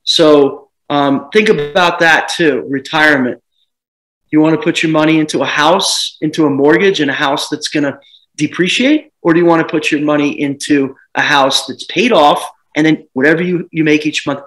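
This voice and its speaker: A man in his thirties talks over a laptop webcam from a dim living room, his voice bright and a touch high. He rambles and restarts often, with animated bursts, in a relaxed video call chat. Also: moderate pace, rough recording. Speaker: home seller.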